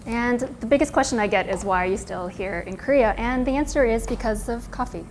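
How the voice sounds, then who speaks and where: Young American woman, clear background noise. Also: on the high side, brisk, rough recording. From United States, California. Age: 20s. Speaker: coffee content creator and barista. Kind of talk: casual personal storytelling to camera.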